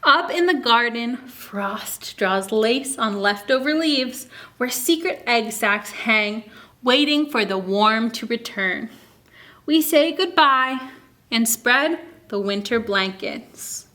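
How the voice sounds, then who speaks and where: A woman hosting a children's story time, reading a picture book aloud expressively and pausing to ask young listeners questions and explain. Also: on the high side. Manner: asks listeners questions, expressive teaching delivery.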